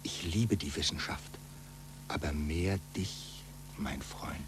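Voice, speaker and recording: An actor playing a grave, worried friend in a 1940s radio play, speaking in solemn declamation. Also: male voice, deep voice, slow pace. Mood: sorrowful, tender. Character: Galileo's worried male friend, grave and foreboding. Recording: faintly noisy, humming 1940s radio recording.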